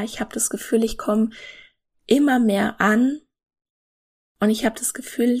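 Solo podcast host, woman in her 30s, breathy and husky tone, earnest and upbeat. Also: fairly high pitch, darkish tone. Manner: earnest, enthusiastic, speaks directly to the listener.

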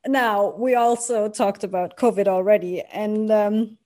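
A woman interviewer asks questions at an average pitch. Her manner is friendly, and she laughs easily along with her guest. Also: steady tone, a little slow, warm tone. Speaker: radio interviewer.

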